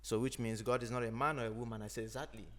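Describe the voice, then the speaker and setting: A man from Nigeria, an Igbo speaker, talking in a thoughtful, unhurried way as a podcast host. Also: mid-range voice, fairly even intonation. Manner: thoughtful, unhurried, frequent "you know". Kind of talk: reflective conversation.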